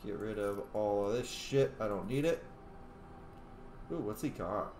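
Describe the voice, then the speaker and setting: A man giving casual, expressive, informal commentary as he plays through a game. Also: on the low side, a little slow. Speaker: gamer, video host. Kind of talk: casual playthrough commentary with roleplayed replies. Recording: echoey room, faint background noise.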